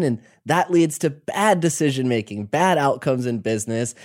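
A man hosting a podcast interview, speaking in a measured, reflective way. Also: mid-range voice, a little mellow. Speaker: podcast host.

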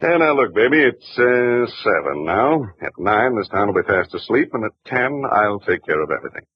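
A cajoling ex-con robber; low, husky, dull vintage broadcast. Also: male voice, sing-song, moderate pace. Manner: cajoling, condescending. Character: smooth-talking ex-convict bank robber. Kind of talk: 1940s radio acting. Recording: dull old radio broadcast.

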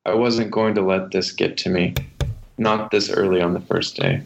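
A man's voice: deep voice, steady tone, moderate pace, vocal fry, a little hiss or hum. A man speaking as an author and guest, reading from a memoir or talking about writing. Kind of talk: memoir reading and literary interview talk. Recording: muffled, dull lo-fi sound.

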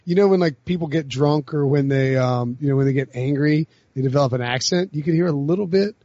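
A man's voice: a little high, fairly quick, mellow, dry recording. A man hosting a casual sports talk podcast, rambling with lots of filler words. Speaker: podcast host. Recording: dry lo-fi podcast mic.

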